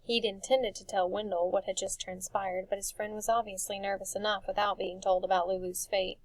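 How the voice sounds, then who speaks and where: A woman reading a dark fantasy story for children as expressive scripted narration. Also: medium pitch, a little fast, dry recording, poor audio. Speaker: audiobook narrator.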